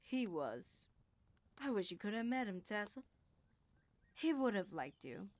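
A woman's voice: medium pitch, sing-song, a little slow, no room sound. A woman voicing an older, motherly grandmother in a full-cast audiobook, with a drawling Southern accent and an affectionate, teasing manner. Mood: wistful.

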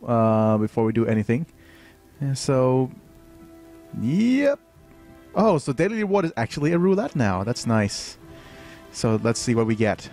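A man's voice: medium pitch, gravelly, a little mellow. A young man from Thailand with a light Thai accent, streaming and explaining a game with many fillers and restarts. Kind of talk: live game commentary.

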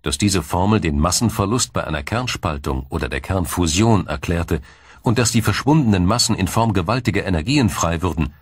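A man narrating a scripted documentary in a measured, explanatory storytelling manner. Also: deep voice, expressive, slightly rough, no room sound, studio quality. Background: music.

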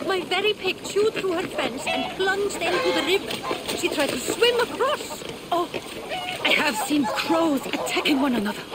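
Loud outdoor ambience sits behind a worried farm villager in a game. Her voice is high and urgent, full of unease about omens. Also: expressive, moderate pace, heavy background noise. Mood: alarmed, worried. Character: anxious village farm woman, troubled by omens. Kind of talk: video game voice acting.

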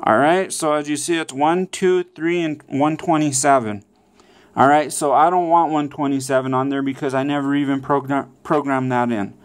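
A man's voice: mid-range voice, fairly even intonation, moderate pace. A man presenting a casual step-by-step how-to, often checking in with okay and all right. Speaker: tutorial presenter.

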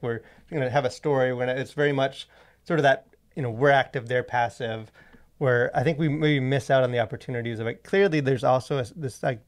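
A man in his thirties co-hosting a casual podcast, talking in a halting, wordy way as he thinks aloud, close on a studio mic. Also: medium pitch. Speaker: podcast co-host. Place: small indoor podcast studio. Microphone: close boom-arm studio microphone.

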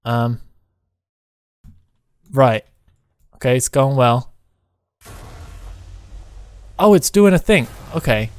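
A man giving casual co-op gaming commentary, thinking aloud in short, halting phrases. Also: mid-range voice, lively intonation, darkish tone, clear, resonant tone, no echo. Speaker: gaming video host, player.

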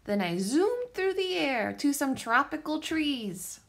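Female storyteller for young kids: high, slow and very expressive picture-book read-aloud. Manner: animated, deliberate phrasing for young children.

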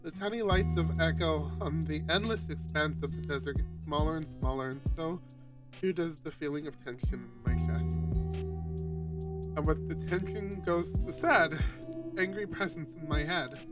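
A man's voice: fairly high pitch, moderate pace, far from the mic, over loud music. A man streaming a visual novel, reading its narration aloud in a dramatic storytelling style.

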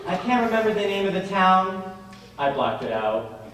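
Man playing a droll high-voiced young dancer. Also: very animated, moderate pace. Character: droll young male dancer, age twenty-five. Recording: echoey theatre, distant, faint noise.

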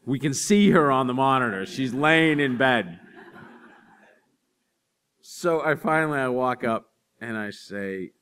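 A man in his forties telling animated, self-deprecating stories to an audience on a library stage. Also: mid-range voice, unhurried, pauses often, smooth. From Boston area. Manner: animated storyteller, acts out voices in anecdotes, self-deprecating. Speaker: author speaking to an audience. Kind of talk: storytelling talk and audience Q&A. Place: library stage in front of a curtain.

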